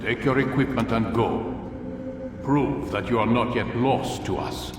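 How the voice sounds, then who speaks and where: An actor playing a stern, solemn aging master of an assassin brotherhood in a video game cutscene, with a measured, commanding, fatherly and grandiose manner. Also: medium pitch, far from the mic, background noise, close to an everyday voice. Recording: echoey hall, music behind.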